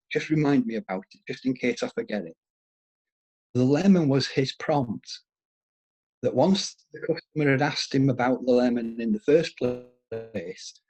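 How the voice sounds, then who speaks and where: Husky middle-aged Yorkshire man, casual storyteller, mid pitch, loose and rambling. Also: darkish tone, low-quality recording. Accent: Yorkshire, softened by years in Scotland. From England, Yorkshire. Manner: rambling storyteller with frequent restarts. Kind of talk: casual conversation with anecdotes.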